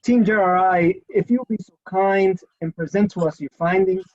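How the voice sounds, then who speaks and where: A man's voice: high voice, a little mellow, lo-fi sound. Earnest man hosting a video call panel. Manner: earnest, halting with fillers. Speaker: event host. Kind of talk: moderating a virtual panel.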